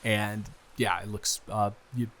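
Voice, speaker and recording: A man playing a flustered, smitten young man in an improvised romantic audio roleplay, speaking haltingly and conversationally. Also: lowish voice, fairly even intonation, a bit bright. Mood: flustered, admiring. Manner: halting, flustered, with sighs, gasps and laughs.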